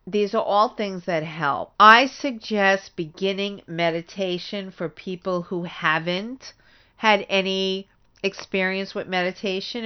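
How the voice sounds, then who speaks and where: Slow-talking woman podcast host, smooth voice. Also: on the low side, muffled recording. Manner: informal, addresses listeners directly. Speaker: grief therapist and author. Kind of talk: solo podcast talk, explanatory advice.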